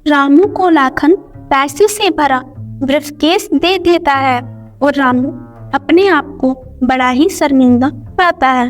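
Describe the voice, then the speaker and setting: A woman narrating a scripted moral story as a storyteller. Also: high voice. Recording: music under the voice.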